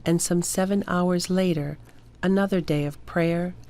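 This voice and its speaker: A woman narrating a documentary in a calm, measured, scripted way. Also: on the low side.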